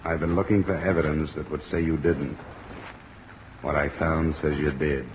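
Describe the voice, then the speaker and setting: An actor playing a steady, terse insurance investigator in a 1950s radio detective drama, clipped and matter-of-fact. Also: male voice, rumbling low, moderate pace, noisy background. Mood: grave, accusing. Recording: old radio recording, rain overlaid.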